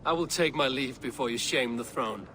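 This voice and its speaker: Video game Norse raider, male actor: mid-pitched, measured, commanding, formal, faint street ambience behind. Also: noisy background, lightly acted. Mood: calm, resolute. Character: Eivor, steady, commanding Norse warrior raider. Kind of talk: video game dramatic dialogue.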